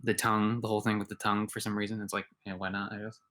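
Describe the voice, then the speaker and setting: A man's voice: lowish voice, flat delivery, rapid-fire, a bit bright. A man co-hosting a podcast in casual chat, rambling with lots of filler words. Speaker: podcast co-host.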